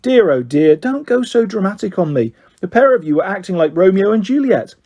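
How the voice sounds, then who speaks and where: A man voicing an affectionate, wry mother, mildly exasperated and gently scolding, in a children's storybook reading. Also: sits high, big pitch swings, a little fast, voice pushed up, full character voice. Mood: fond scolding.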